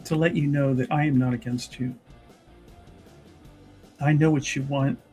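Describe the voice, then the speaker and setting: A man playing an earnest, solemn member of a fantasy church in a tabletop game, speaking in measured, halting, conciliatory phrases. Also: mid-range voice, steady tone, dark tone, faint music behind, natural voice. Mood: earnest, gentle. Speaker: tabletop roleplay player. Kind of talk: in-character improvised dramatic dialogue.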